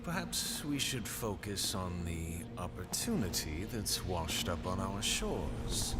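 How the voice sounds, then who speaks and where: An actor playing a grave, scheming aristocrat in a fantasy cinematic, speaking in a measured, deliberate way. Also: male voice, sits low, sing-song, over loud music, full character voice. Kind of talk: dramatic cinematic voice acting.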